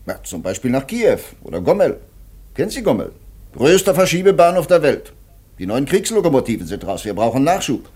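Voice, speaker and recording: A man's voice: medium pitch, very animated, brisk, a little mellow, close to an everyday voice. A man playing an officious, jovially pompous railway superior in 1950s German radio drama. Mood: brisk, persuasive. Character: officious male railway superior handing out praise and orders.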